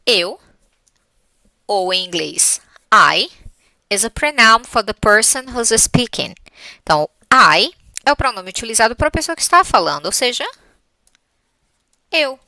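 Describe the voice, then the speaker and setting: A woman's voice: a little high, animated, unhurried, vocal fry, pauses often, bright, forceful tone, dry recording. A Brazilian woman teaching a grammar lesson in a conversational, step-by-step way, often checking that listeners follow. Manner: didactic, conversational, spells points out step by step. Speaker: English teacher.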